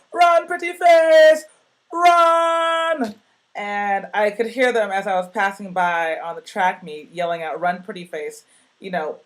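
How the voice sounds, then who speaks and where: Woman with a deeper voice, laid back and chatty, lots of like and you know, sharing a story. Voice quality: deep voice. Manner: chatty, relaxed, many "like" and "you know" fillers. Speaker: speaker sending a recorded message to a youth project. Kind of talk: casual personal storytelling.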